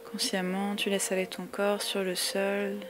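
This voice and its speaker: A woman guiding a yoga relaxation meditation with calm, soothing, measured instructions and long pauses. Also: medium pitch, noisy background. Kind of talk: guided meditation, slow spoken body-scan instructions. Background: music.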